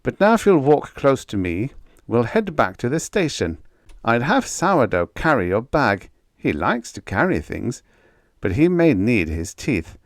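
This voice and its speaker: A wry, self-possessed outlaw settler in a science fiction audiobook, played by a man. He is politely dry yet commanding, with pitch that moves freely. The recording is close, with no room sound. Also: very animated, measured pace, dry recording. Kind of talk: audiobook character dialogue.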